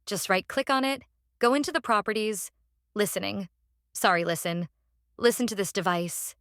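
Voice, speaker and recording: Woman doing a casual how-to screen tutorial, mid pitch, dry close mic, keeps checking ur following. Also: normal speed, fairly bright tone, no room sound. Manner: instructional, often checking with "right?". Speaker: tutorial host. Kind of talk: casual step-by-step software tutorial.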